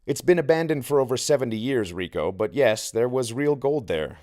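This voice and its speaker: A man's voice: medium pitch, lively intonation, moderate pace, a little mellow, voice pushed up, close to an everyday voice. Man as an earnest, condescending wilderness leader. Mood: instructive. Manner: condescending, instructive.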